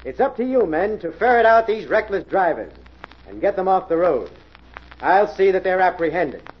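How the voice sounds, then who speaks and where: A commanding American police inspector from an old radio play. He is brisk, declamatory and rather high for a man. The sound is dull and dated. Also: very animated. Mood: stern, commanding. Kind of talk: 1940s radio acting. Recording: muffled old radio recording.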